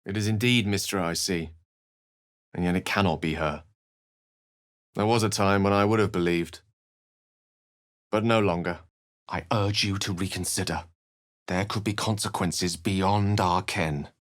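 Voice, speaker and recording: An actor voicing an erudite, thoughtful, wry wizard adventurer in a video game, with a measured, theatrical way of speaking. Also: male voice, fairly low pitch, a bit bright, no echo, studio quality. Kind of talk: video game voice acting, dialogue and narration.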